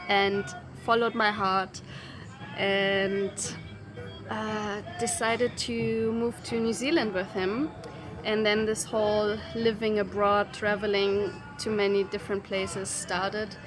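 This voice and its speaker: Slow, thoughtful woman vlogging. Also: medium pitch. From Germany. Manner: thoughtful, unhurried, with frequent pauses and fillers. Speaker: travel vlogger. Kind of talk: casual talk to camera. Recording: music playing underneath.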